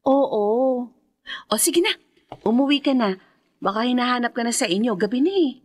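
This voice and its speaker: A woman playing a kind, gentle, curious young woman in a radio drama. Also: a little high, animated, moderate pace. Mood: warm, teasing.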